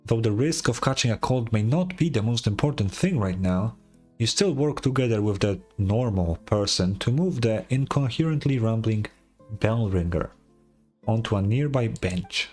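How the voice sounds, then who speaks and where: A man's voice: fairly low pitch, lively intonation, moderate pace, a little mellow, soft background music. A man streaming a game, commenting casually and reading aloud in an informal, bemused way.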